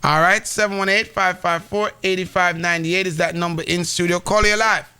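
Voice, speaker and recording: A man's voice: sits high, fairly even intonation, moderate pace, sharp tone, clear, resonant tone. A man hosting a live call-in radio show, talking with callers and addressing listeners.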